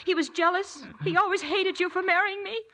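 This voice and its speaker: An actress playing a distraught, accusing wife with dramatic emphasis in a 1940s radio melodrama. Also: female voice, very high voice, fairly even intonation, brisk, bright, forceful tone, exaggerated voice. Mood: bitter accusing. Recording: faintly noisy 1940s radio recording.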